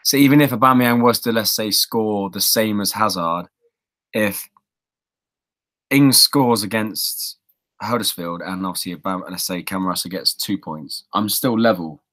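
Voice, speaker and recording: A man's voice: fairly low pitch, normal speed, fairly bright tone. A man chatting casually as a podcast co-host, rambling and thinking aloud as he discusses fantasy football.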